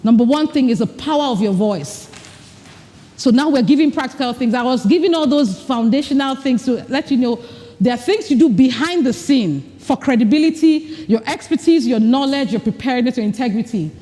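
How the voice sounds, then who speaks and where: A woman's voice: high-pitched, lively intonation, fairly quick, mellow. Coaching woman in a reverberant hall. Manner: direct, coaching, acts out mock dialogue. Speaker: speaker at a women's leadership retreat. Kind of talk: live workshop talk with breathing exercises. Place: large event hall with an audience. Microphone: recording mic, not amplified.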